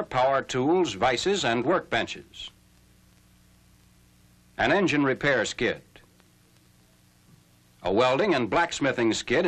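A man narrating a scripted 1940s military newsreel in a formal, measured announcer's delivery. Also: mid-range voice, fairly bright tone. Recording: noisy old 1940s film soundtrack.

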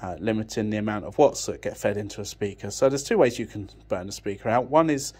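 A man with a slightly low voice talks through technical material informally. His delivery is unscripted and matter-of-fact, with some hesitation as he works out each explanation. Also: fast talker, darkish tone, slightly rough. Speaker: audio technician presenting a tech channel. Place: indoors, beside an amplifier rack.

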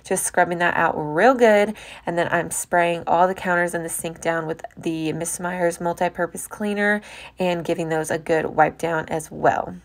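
A woman's voice: fairly low pitch, fairly even intonation, normal speed. A young American woman giving a chatty, informal voice-over about household chores, as a homemaking vlogger. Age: young adult.

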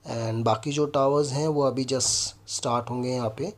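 A man's voice: mid-range voice, steady tone, moderate pace. A man in his thirties with an Indian accent, presenting a property walkthrough as host and explaining things directly to viewers. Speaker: property consultant and video host. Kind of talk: property walkthrough presentation mixing Hindi and English.

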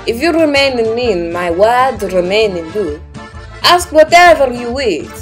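A woman's voice: a little high, very animated, measured pace, music in the background. A young woman teaching a kids' Bible lesson in a playful way, trying out silly accents. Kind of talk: playful scripted kids lesson.

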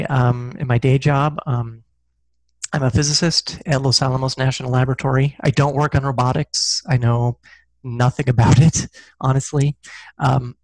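A man's voice: medium pitch, dry recording, rough recording. A middle-aged man talking casually as an interview guest, thoughtful and self-deprecating, on a headset mic. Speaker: guest, physicist and science fiction author. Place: small indoor room, video call.

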